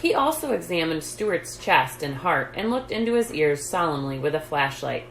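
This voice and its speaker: A woman hosting story time, reading a children's book aloud in an expressive, deliberate, emphatic way. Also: low voice. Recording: roomy echo, background noise.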